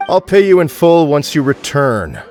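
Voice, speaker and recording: A man's voice: a little high, very animated, moderate pace, darkish tone, soft background music. A man voicing a formal, stern but fair administrator in a game, polite and businesslike. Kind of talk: game voice acting.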